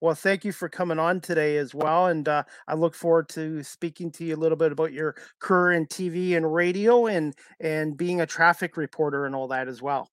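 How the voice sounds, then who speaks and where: A man's voice: fairly high pitch, steady tone, no echo. Man, podcast host, casual chat, dry close sound, stumbles and restarts. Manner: halting, many fillers and restarts.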